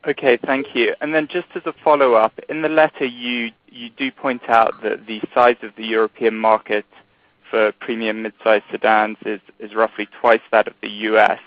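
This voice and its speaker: A man's voice: lowish voice, flat delivery, normal speed, no room sound. A man politely asking an analyst's follow-up question on a conference call. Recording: dull phone line.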